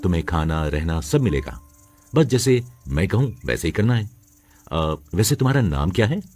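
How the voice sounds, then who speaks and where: A man playing a scheming young husband in a family moral story, smooth-talking and casual. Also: deep voice, very animated, moderate pace, darkish tone, faint music behind. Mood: persuasive. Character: scheming young husband feigning romance to provoke wife.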